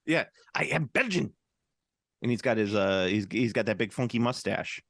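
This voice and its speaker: A man co-hosting a podcast, talking in a loose, jokey way with a lot of restarts and fillers. His pitch swings widely as he goes. The sound is close and dry, with almost no room. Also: animated, normal speed, vocal fry, fairly bright tone, no room sound. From United States. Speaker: podcast co-host. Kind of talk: casual chat.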